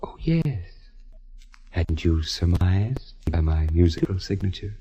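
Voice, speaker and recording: Very deep, husky, menacing radio villain. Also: male voice, expressive, warm tone, no echo, lo-fi sound, heavily acted. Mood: bitter, grandiose. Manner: slow, deliberate, sinister politeness. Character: menacing, theatrical male killer, embittered failed artist. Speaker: radio drama actor. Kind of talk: 1940s radio acting. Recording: vintage 1940s radio recording.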